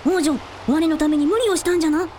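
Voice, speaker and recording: A woman's voice: very high-pitched, fast talker, a little mellow, a little hiss or hum. A woman playing a young, earnest dragon prince in a radio drama, speaking in archaic lordly phrases with childlike openness. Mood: concerned, tender. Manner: old-fashioned lordly speech with childlike openness. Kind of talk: Japanese radio drama acting.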